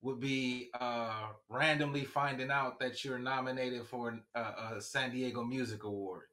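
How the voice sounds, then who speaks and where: Easygoing rapper guest from Los Angeles. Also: male voice, mid-range voice, steady tone, normal speed, bright, forceful tone. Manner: easygoing, some restarts, street slang. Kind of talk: casual interview.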